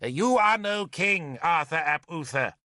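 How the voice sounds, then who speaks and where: A man voicing a proud, ruthless old druid in an audiobook, deliberate and imperious. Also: fairly high pitch, lively intonation, bright, forceful tone, dry recording, studio quality, pitched above the performer's own voice. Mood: patient menace. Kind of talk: dramatic audiobook character voice.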